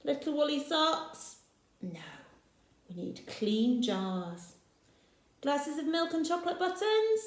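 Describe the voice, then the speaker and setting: A woman reading aloud as a kindly, knowledgeable male cafe owner, gently correcting children with sing-song questions. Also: high voice, very animated, slow, pitched above the performer's own voice, heavily acted. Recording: echoey room.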